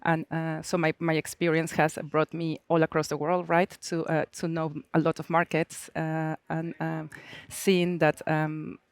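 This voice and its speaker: A woman's voice: low-pitched, flat delivery, a little slow, dry recording. Mexican-accented woman on a conference panel. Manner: hesitant, many fillers, warm and open. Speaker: panelist, supply chain manager. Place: conference stage.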